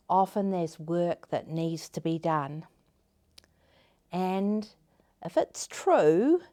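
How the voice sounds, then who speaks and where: A woman storyteller hosting a story time, reading to children in a gentle, expressive way with deliberate phrasing. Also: on the low side. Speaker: storyteller, host.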